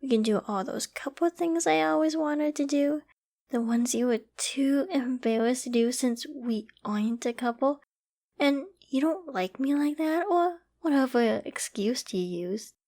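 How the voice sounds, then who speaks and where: A woman's voice: high-pitched, measured pace, warm tone. A woman playing an obsessive, lovesick young survivor in an intimate roleplay drama, sweetly controlling, affectionate and possessive. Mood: giddy, scheming. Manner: affectionate, giddy, possessive, with breathy sighs and giggles.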